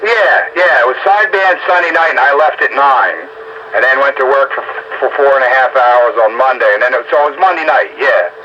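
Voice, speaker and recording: A man's voice: mid-range voice, animated, fairly quick. A man in casual, folksy, rambling chat over a two-way radio as he runs a round-up. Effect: two-way radio transmission. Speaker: radio operator running a round-up. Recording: dull, noisy two-way radio audio.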